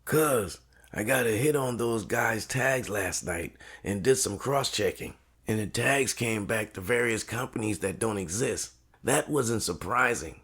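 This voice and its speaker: A man playing a loyal, tech-savvy cousin in an audio drama, speaking in a measured, serious, colloquial way. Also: lowish voice, fairly bright tone, breathy edge, exaggerated voice. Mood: grave.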